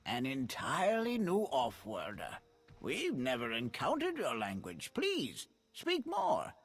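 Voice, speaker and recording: An actor voicing a friendly, overly welcoming alien villager in a video game, who talks in a slow, soothing way. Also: male voice, mid-range voice, wildly swooping pitch, exaggerated voice. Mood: friendly, curious.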